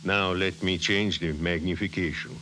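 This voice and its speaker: An actor playing a courteous, calmly assured chief scientist in 1950s radio drama style, polite and clipped. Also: male voice, deep voice, moderate pace, lightly acted. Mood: assured, intent. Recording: hissy 1950s radio recording.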